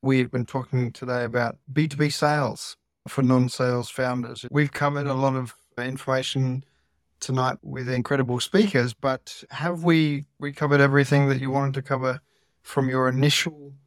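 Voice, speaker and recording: A man's voice: medium pitch, level tone, moderate pace, no room sound. A man moderating a casual panel discussion and steering it toward its close.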